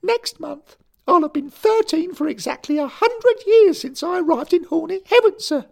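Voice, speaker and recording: A man voicing a sulky, furious 13-year-old boy ghost who shouts shrilly and calls everyone sir. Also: very high voice, lively intonation, moderate pace, gravelly, voice pushed up, full character voice. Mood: furious whining.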